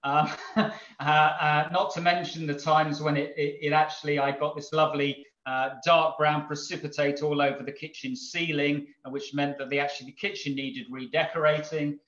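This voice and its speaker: A middle-aged man talks through his hobby in a loose, rambling way, full of ums, restarts and little chuckles. His voice sits slightly high for a man. The sound is dull video call audio from an echoey room at home. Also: flat delivery, normal speed, fairly bright tone. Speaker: brewery owner. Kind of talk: casual webinar talk. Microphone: video call microphone.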